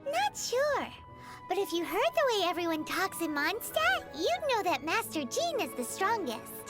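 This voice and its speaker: A woman voicing a tiny, cheeky, childlike fairy companion in a video game, with a squeaky, emphatic delivery. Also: huge pitch swings, measured pace, heavily acted. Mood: chatty, curious. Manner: refers to self in third person, emphatic. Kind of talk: video game character dialogue. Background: music.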